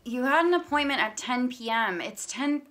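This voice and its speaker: A woman acts out a guarded massage therapist in an audio drama. Her voice is fairly high and very bright, with a firm, curt delivery that gives little away. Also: lively intonation, normal speed. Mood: stern, annoyed. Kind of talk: audio play acting.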